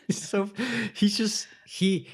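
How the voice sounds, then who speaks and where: A man's voice: sits high, little pitch movement, drawn-out words. A man co-hosting a podcast, chatting informally about a TV show in a conversational, easily amused way. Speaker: podcast co-host. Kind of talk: casual chat about a TV show.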